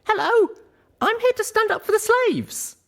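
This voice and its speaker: A man's voice: very high voice, lively intonation, unhurried, fairly bright tone, pitched above the performer's own voice, exaggerated voice. A man voicing an earnest, plucky young female pony heroine in an audiobook.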